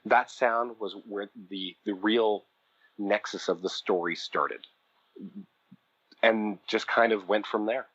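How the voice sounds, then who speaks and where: A man's voice: fairly low pitch, steady tone, takes it slowly, fairly bright tone, no room sound, muffled recording. A man who writes and produces audio dramas, a guest on a podcast, explaining his process thoughtfully with frequent restarts. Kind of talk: casual interview chat.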